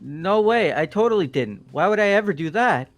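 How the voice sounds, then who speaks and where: Guy doing a goofy shifty young dude from a game, highish voice, bumbles along, faint music. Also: lively intonation, normal speed, vocal fry. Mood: evasive. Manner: hesitant, bumbling, casual. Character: goofy, evasive, superstitious young man, bad liar. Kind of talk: hobby video game voice acting.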